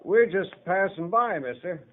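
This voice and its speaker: An actor playing a wheedling, folksy horse thief in a 1950s Western radio drama. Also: male voice, high voice, lively intonation. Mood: falsely friendly. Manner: drawn-out folksy coaxing, ungrammatical. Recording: muffled, hissy 1950s radio recording.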